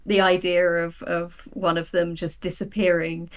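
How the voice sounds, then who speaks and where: A woman's voice: on the low side, little pitch movement, normal speed, dull audio. A woman speaking as a writer guest in a casual interview, telling stories and acting out her own thoughts in quoted asides. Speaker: guest, audio drama writer.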